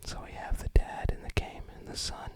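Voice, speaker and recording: An ASMR host narrating an unboxing in a breathy, unvoiced whisper, with gentle, rambling commentary. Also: moderate pace.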